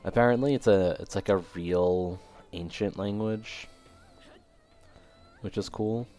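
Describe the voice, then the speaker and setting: Brief: male game streamer, very deep voice, rambling with fillers, voice going hoarse. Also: slow pace, warm tone, soft background music. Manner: rambling, thinking aloud, many fillers. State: losing his voice. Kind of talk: casual live game commentary.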